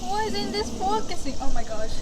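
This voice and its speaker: A woman's voice: very high voice, sing-song, brisk, very noisy. A woman whose first language is Japanese, chatting to viewers in a casual exploring vlog while walking outdoors on a camera mic. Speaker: urban explorer vlogger. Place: outdoors at an old fort, walking. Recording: background music over poor outdoor audio.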